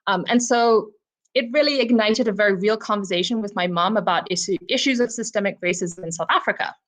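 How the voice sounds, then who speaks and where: A woman from Zimbabwe speaks over a video call as a book club co-presenter. She reflects thoughtfully and personally on a novel, often pausing with ums and you knows. Her pitch sits in an ordinary middle range. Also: fairly bright tone. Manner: reflective, personal, frequent "um" and "you know" fillers. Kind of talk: virtual book discussion talk.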